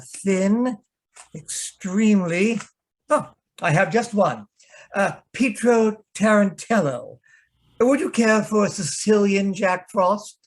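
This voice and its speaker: A man plays a fussy, affectionate grumbler of a photographer in a radio mystery. He takes his time over every phrase, arch and genteel, dithering theatrically. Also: sits high. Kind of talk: old-time radio comedy-mystery acting.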